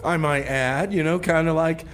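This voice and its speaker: A man hosting a community radio show, chatting casually and haltingly in a rambling, informal way with comedic banter. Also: a little high, steady tone, fairly bright tone, a little hiss or hum. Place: radio studio.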